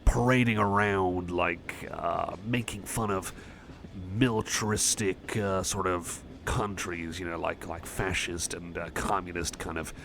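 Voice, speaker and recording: A man playing a shy, friendly cyborg music fan, chatting softly and hesitantly in a casual ASMR roleplay. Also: low-pitched, fairly even intonation, measured pace, vocal fry, slight background noise, exaggerated voice. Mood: shy, enthusiastic. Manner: hesitant, rambling, self-effacing.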